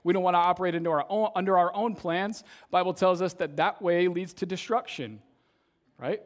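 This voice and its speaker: A young man with a somewhat high voice leads a church service as its youth pastor, preaching in a relaxed, conversational way. His voice is a little raspy from shouting earlier, and he keeps checking in with the congregation by tagging his points with "right?". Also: brisk. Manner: conversational, tags sentences with "right?". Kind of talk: casual sermon.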